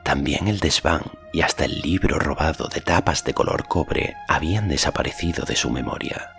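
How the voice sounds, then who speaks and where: A man reading literary fantasy narration as an audiobook narrator, in a measured, solemn storytelling manner. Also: very deep voice, creaky, faint music behind.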